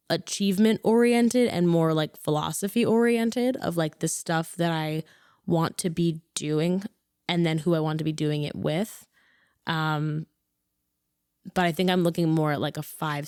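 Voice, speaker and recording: Woman in her twenties, rambling, self-correcting, lowish voice, dry sound. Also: clean tone, no echo. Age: 20s. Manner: rambling, self-correcting, animated when excited. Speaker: guest, actor and comedian. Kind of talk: casual interview chat.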